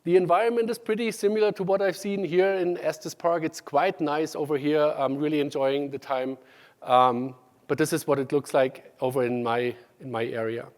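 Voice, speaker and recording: A man with a German accent gives a technical talk at a conference, pausing often with ums and starting sentences over. His voice sits a little high for a man. The sound carries the long reverb of a large hall. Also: fairly even intonation. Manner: halting, many ums and restarts. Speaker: conference speaker.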